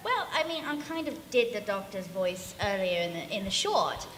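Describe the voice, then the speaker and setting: A woman's voice: mid-range voice, very animated, moderate pace, a bit bright. A woman chatting informally as a panelist and voice-acting director at a live fan Q&A. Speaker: panelist, voice actor and director of a fan radio play. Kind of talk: convention panel Q&A, casual chat. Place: large indoor convention hall. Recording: echoey convention hall with audience noise. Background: audience crowd.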